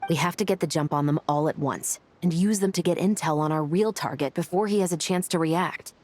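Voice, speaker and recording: A woman's voice: low-pitched, brisk, darkish tone. A woman playing a shrewd, skeptical investigator in a video game, speaking in a dry, matter-of-fact way.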